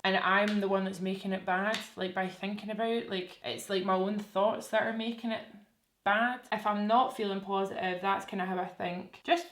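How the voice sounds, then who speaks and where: A woman's voice: mid-range voice, a little hiss or hum. A young woman chatting candidly to camera while doing her makeup, self-deprecating and open. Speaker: beauty vlogger. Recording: echoey room with faint music.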